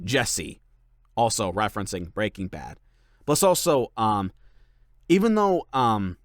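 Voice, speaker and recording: Chatty young man, lively and dry. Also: fairly low pitch, no room sound. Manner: rambling, filler-heavy, many likes and ums. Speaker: channel host and voice actor. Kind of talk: casual Q&A chat.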